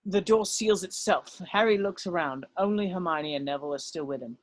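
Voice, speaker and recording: A man's voice: sits high, brisk, no echo. A man reading stage directions as narrator in a scripted style.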